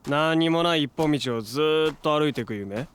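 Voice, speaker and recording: An actor playing a brash, warm-hearted young man with casual, slangy speech in a Japanese audio drama. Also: a little high, moderate pace, clear, resonant tone, natural voice. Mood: puzzled, curious.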